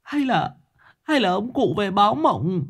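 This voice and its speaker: A man's voice: sits high, sing-song, a little slow, creaky, breathy edge, pitched above the performer's own voice, heavily acted. A man voicing a frightened older wife who stammers and repeats herself in a horror audio story. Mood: panicked, breathless. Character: terrified older wife, gasping after a nightmare.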